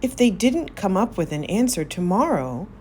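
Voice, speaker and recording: A woman narrating a children's story aloud, expressive, with drawn-out emphasis on key words. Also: mid-range voice, slight background noise. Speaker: storybook reader.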